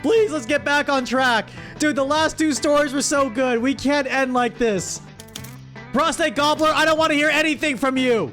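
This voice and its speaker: A high-voiced man hosts a stream in a casual, comedic way. He sounds exasperated and playfully scolds his viewers as he reads their words aloud, with faint music underneath. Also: a bit bright. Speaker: streamer host.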